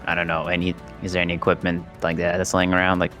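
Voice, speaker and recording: A man's voice: sits low, monotone, fast, a bit bright, faint music behind. A man speaking casually as a player in a tabletop roleplaying game, describing what his character does. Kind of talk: casual chat.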